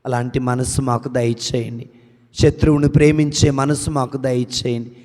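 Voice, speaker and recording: A man leads a long intercessory prayer, rolling through lists of petitions in a cadence that rises and falls. His voice sits at an ordinary pitch for a man and carries a long reverb, as from a large hall. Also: fairly even intonation, normal speed, mellow. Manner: chanting long lists in rising and falling cadence. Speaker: prayer leader.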